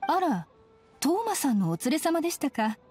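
A composed, businesslike young woman clerk in a video game. Her high voice is courteous and formal, with a lively lilt. Music plays faintly behind her. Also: moderate pace. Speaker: inspection clerk. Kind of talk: Japanese video game voice acting.

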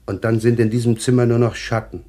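An actor in a German radio play playing an anxious, troubled man haunted by visions, speaking haltingly with a husky voice. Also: mid-range voice, little pitch movement, dark tone, natural voice. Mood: haunted, confused. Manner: halting, haunted, repeating himself.

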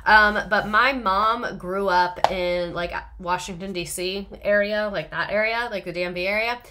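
A woman's voice: mid-range voice, normal speed, background noise. A woman chatting casually and rambling with lots of asides while she does crafts. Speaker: vlogger. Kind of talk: casual chat while crafting and watching TV clips.

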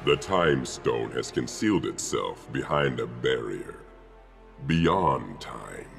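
An extremely low, gravel-throated game villain. This titan warlord is sly and commanding, and speaks slowly and deliberately. Faint music sits behind the voice. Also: male voice, very animated, full character voice. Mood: cold, commanding. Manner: slow, imperious, deliberate. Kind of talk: video game villain acting.

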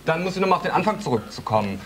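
Fast indignant young man in a debate. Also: on the high side, sing-song. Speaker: debate panelist, actor. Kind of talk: heated TV debate. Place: TV studio audience seating.

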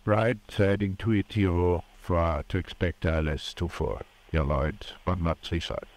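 Deep-voiced male pilot on radio. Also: fairly even intonation, unhurried, a little mellow. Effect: air traffic radio. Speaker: airline pilot. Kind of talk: radio readbacks to air traffic control.

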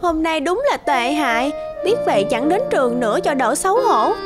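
A woman voicing a self-loathing teenage schoolgirl in an animated tale, whiny and complaining. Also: high-pitched, normal speed. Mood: self-pitying. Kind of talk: animated moral tale monologue. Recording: music behind the voice.

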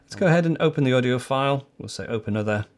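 A man's voice: medium pitch, expressive, racing. An older man of about sixty hosting a tech tutorial, explaining steps informally as he goes. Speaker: host of a tech tutorial show. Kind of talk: step-by-step software tutorial, presenting to camera. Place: small home studio office, indoors.

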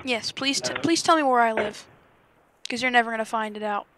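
A man's voice: very high voice, a little fast, sharp tone. A teenage boy talking casually and goadingly on a call through a gaming headset. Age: teens. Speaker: video creator prank-calling a scammer. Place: indoor desk setup. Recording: clear background noise.

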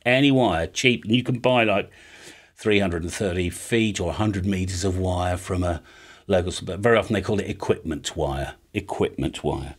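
Deep-voiced man explaining things. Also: moderate pace, husky. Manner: conversational, explanatory, checks in with tag questions. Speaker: amateur radio video host. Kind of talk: informal hands-on tutorial. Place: small home studio with drums and guitars.

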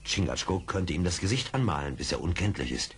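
An actor playing a calm, seasoned frontier scout in an audio drama, matter-of-fact and authoritative. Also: male voice, low voice, brisk, a little hiss or hum. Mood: sober. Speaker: actor in a radio play. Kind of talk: 1970s German audio drama acting.

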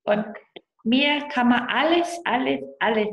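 A woman's voice: fairly high pitch, pitched above the performer's own voice. A woman voicing a friendly child-like girl hand puppet who speaks simply and with a lisp. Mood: confiding. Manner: slow, simple childlike phrasing. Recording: roomy video call sound.

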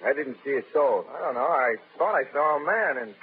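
An actor playing a plain-spoken, puzzled young army sentry in a 1930s radio drama, on an old broadcast recording. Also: male voice, fairly high pitch, lively intonation, fairly quick, narrow, tinny audio, slight background noise, natural voice.

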